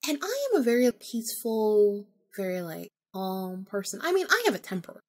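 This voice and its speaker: A woman's voice: mid-range voice, big pitch swings, moderate pace, darkish tone. A woman podcast host telling a personal story casually, informally acting out remembered bits of dialogue.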